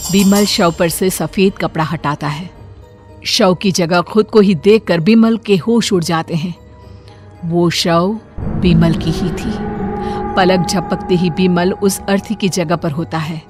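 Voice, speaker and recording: Lady narrator for a scary tale, voice a bit low, soft music behind. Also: fairly even intonation, slow pace. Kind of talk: scripted horror story narration.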